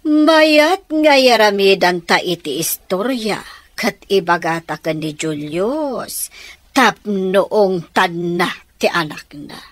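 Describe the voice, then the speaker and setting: Slow, animated scheming stepmother. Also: medium pitch. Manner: theatrical, conniving. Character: scheming middle-aged mother, sly and manipulative stepmother. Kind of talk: Ilocano radio drama acting.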